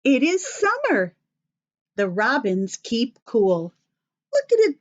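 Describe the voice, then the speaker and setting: An American woman reading aloud to young children like a teacher, expressive and sing-song, addressing them with questions. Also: a little high, takes it slowly, dull audio. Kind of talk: children's story read-aloud.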